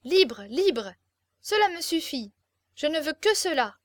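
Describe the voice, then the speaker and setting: Woman playing a capricious young beauty, high pitch, imperious, impassioned, theatrical, storybook captive. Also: normal speed, no room sound, clean studio sound, lightly acted. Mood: furious, pleading. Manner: impassioned, demanding, theatrical exclamations. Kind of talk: dramatic storybook reading.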